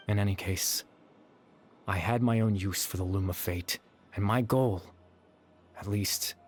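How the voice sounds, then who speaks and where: Guy voicing a sad soft-spoken young man in a video game, low voice, measured, music behind. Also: natural voice. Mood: solemn, explanatory. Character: gentle, sorrowful young man, a fading remnant consciousness at peace. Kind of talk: scripted video game voice acting. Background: background music.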